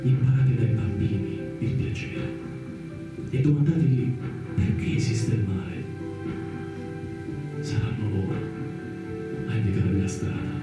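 A man reciting a solemn dramatic monologue as a radio theatre actor, with measured, exhortatory phrasing and deliberate pauses. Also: fairly low pitch. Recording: distant, very echoey radio theatre recording. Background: plucked guitar music.

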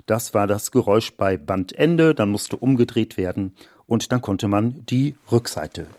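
A man's voice: fairly low pitch, a little slow, darkish tone. A man explaining exhibits as a museum director, in a guided-tour style of talk.

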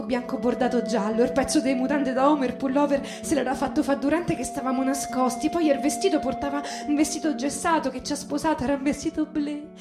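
A woman playing a grieving working-class Roman widow in a dramatic monologue, halting and insistently repeating her words in a Roman accent. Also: high voice, close to an everyday voice. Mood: sorrowful recognition. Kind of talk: dramatic theatrical monologue with music. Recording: roomy echoing sound with music underneath. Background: plucked guitar music.

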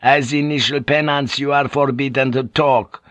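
A man's voice: medium pitch, steady tone, normal speed, a bit bright, no echo, voice pushed up, lightly acted. A man reading an audiobook, voicing a zealous, wrathful priest, a stern superior who commands and condemns. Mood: stern condemnation. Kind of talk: dramatic audiobook character acting.

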